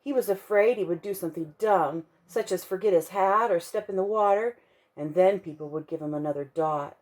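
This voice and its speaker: A woman reading a children's bedtime story aloud for a church kids' ministry, expressive and slowing down to stress key moments. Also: on the low side, low-quality recording.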